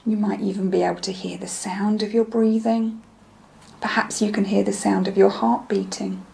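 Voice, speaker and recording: Soothing lady doing guided meditation, soft mellow tone, bit crackly, slow gentle instructions. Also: medium pitch, flat delivery. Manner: soothing, gentle, unhurried instruction with soft breathy phrase endings.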